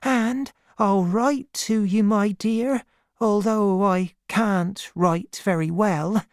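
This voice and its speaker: A woman voicing a devoted, humble servant woman with a hushed, earnest, affectionate manner in a storybook. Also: medium pitch, takes it slowly, no echo, studio quality, voice pushed up, close to an everyday voice. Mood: tender, earnest.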